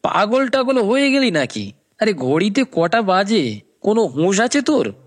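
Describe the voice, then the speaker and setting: A man's voice: sits high, very animated, unhurried, full character voice. A man playing a tired, brusque young friend on a phone call in a cartoon. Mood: exasperated. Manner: brusque, exasperated. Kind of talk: cartoon story acting.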